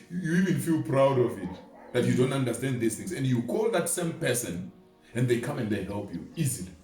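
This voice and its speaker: Echoey, expressive man in conversational motivational talk. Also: medium pitch. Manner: illustrates points with everyday examples and imagined quotes. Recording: echoey room, distant mic, music underneath. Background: music.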